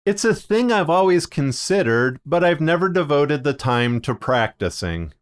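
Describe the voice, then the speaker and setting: Clear-voiced man narrating with measured phrasing. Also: fairly high pitch, big pitch swings. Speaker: podcaster and fiction narrator presenting a video series. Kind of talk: scripted video narration.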